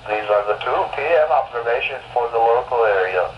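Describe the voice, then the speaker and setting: Automated weather radio announcer, male text-to-speech, formal segmented readout, muffled radio sound with clear noise. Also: medium pitch, fairly quick. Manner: flat, formal, segmented reading. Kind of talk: scripted weather report readout. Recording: dull noisy weather radio broadcast.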